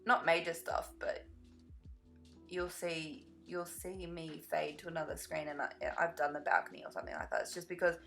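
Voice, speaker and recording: A young woman chatting casually over a game build in a rambling, easily sidetracked way, her voice slightly croaky from tiredness. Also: low voice, steady tone, normal speed, fairly bright tone, soft background music. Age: young adult. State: slightly croaky from lack of sleep. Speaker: gaming video creator.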